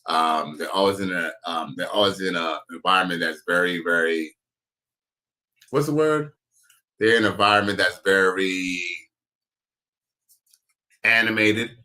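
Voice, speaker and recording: Deep, bright-toned man riffing crudely. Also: a little slow, pauses often, rough recording. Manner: rambling, profane, searching for words. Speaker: commentary show host. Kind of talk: casual reaction commentary.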